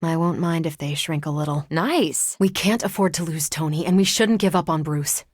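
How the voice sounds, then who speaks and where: A confident superheroine from a video game. Her voice sits a little low for a woman, with a dry, wisecracking, soldierly assurance. The recording is clean and free of room sound. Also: moderate pace, breathy edge, no echo. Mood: wry, conversational.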